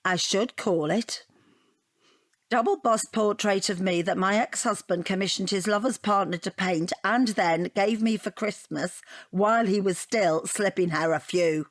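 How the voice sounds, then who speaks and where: An actress playing a chatty, defensive middle-aged British divorcee in an audio comedy drama, rambling and self-justifying with a wry edge. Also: female voice, medium pitch, measured pace, no room sound, close to an everyday voice. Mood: breezy, wry.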